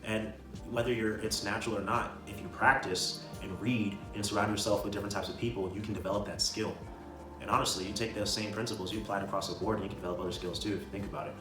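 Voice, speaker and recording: A man's voice: lowish voice, steady tone, quick pace. A man giving casual motivational advice as a video creator, with an emphatic, repetitive, slangy way of talking. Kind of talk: casual motivational talk to camera. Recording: roomy echo, distant mic, music behind. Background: music.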